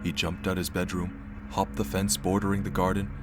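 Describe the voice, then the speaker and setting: An actor playing a droll, tongue-in-cheek storyteller, narrating a comic audio play in a dramatic style. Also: male voice, low voice, monotone, moderate pace, over loud music, lightly acted. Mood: hushed suspense. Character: droll, mock-epic male storyteller.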